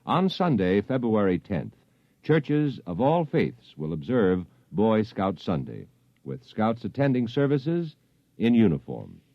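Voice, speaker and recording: Man, 1950s network announcer, low lively voice, dry dull sound. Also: no echo. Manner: brisk, formal promo reading. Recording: dull dry old radio broadcast.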